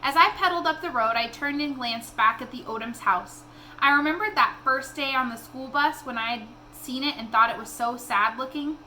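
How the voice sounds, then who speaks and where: A woman reading a children's novel aloud in an expressive storytelling style. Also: a little high, slight background noise, poor audio.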